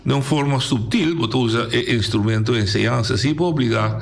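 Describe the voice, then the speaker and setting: A man's voice: medium pitch, fast talker, vocal fry. Man hosting a radio talk. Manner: conversational, affirms often, restarts phrases. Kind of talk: radio discussion. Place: radio studio.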